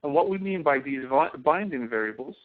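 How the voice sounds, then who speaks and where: A man instructor giving an explanatory software tutorial as a screen-capture voice-over, halting phrase by phrase and repeating himself. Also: on the high side, expressive. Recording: tinny, phone-like narrow-band voice recording.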